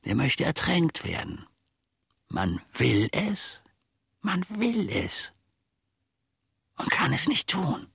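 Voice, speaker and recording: An actor playing a melancholy, self-mocking old manservant in a radio play monologue, ruminating haltingly with long dramatic pauses. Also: male voice, on the high side, huge pitch swings, gravelly, a bit bright, husky, no room sound, studio quality, full character voice. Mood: brooding, rambling. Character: melancholy, wryly self-mocking old manservant looking back on his life.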